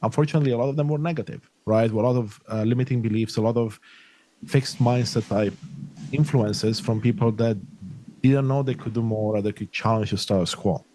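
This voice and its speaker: A man's voice: medium pitch, steady tone, brisk, dark tone, a little hiss or hum, poor audio. A middle-aged man from Romania, a podcast guest talking earnestly and reflectively in long flowing sentences. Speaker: podcast guest, life coach. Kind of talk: conversational interview.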